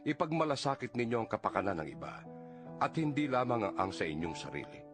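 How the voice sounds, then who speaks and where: A man with a Filipino accent narrating an audio Bible in a solemn, earnest, measured dramatized reading. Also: medium pitch. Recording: music under the voice. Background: background music.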